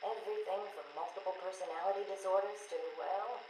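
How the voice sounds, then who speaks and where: An actress playing a stern, confident asylum psychiatrist in a video game, speaking in a clinical, no-nonsense way. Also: female voice, mid-range voice, steady tone, fast, a little mellow, natural voice. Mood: confident challenge. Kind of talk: video game dramatic dialogue. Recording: echoey room, faint noise.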